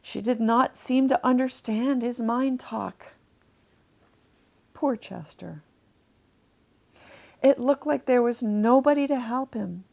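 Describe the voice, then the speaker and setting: A woman narrating a children's storybook, deliberately explaining things to young listeners as she reads. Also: a little high, creaky, darkish tone.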